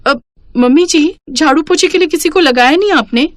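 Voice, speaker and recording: A woman voicing a pampered, stubborn young daughter-in-law in a cartoon story, whiny and quick to talk back. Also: sits high, no echo, voice pushed up, natural voice. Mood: sweetly evasive. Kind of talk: Hindi cartoon moral story acting.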